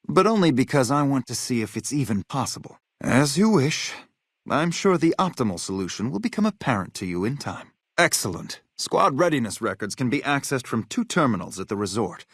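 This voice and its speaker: A man's voice: medium pitch, dry recording, clean studio sound. A man voicing an arrogant, lazy genius soldier in a video game, pompous and pedantic in manner. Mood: self-satisfied. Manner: pompous, condescending, pedantic wording. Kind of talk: scripted game character dialogue.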